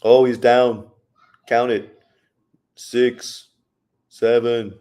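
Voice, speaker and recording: A man's voice: mid-range voice, level tone, very slow, dark tone. A man hosting a live stream who calls a boxing fight as it happens and answers chat questions in a blunt, profane, joking way. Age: adult, old enough to say he got old. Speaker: livestream host reacting to a boxing fight. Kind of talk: casual live commentary, reading chat.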